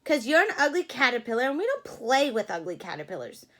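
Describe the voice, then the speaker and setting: A woman voicing a mean, dismissive rabbit in a children's storybook, loud, curt and scornful. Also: fairly high pitch, very animated, fast. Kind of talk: children's storybook read-aloud.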